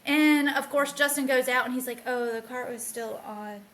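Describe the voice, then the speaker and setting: A woman's voice: sits high, fairly even intonation, normal speed, a crowd in the background. A woman co-hosting a casual book podcast, enthusiastic and firm as she tells a story. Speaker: podcast co-host. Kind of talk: casual book club chat.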